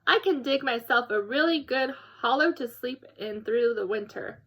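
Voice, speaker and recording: A woman reader puts on a young male bear cub for a children's storybook. The voice is high and bright, full of eager wonder. He sounds affectionate and easily amazed. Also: moderate pace, slightly rough. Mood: proud. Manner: excited, wide-eyed, affectionate. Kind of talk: children's storybook read-aloud.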